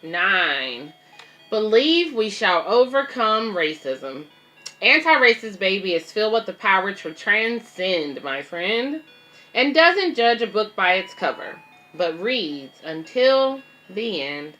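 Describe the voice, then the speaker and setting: A woman's voice: medium pitch, big pitch swings, slow pace, pushed and bright, faint music behind. A woman reading a picture book aloud as a babysitter, explaining it simply to children and parents with casual asides. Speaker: babysitter and children's story reader.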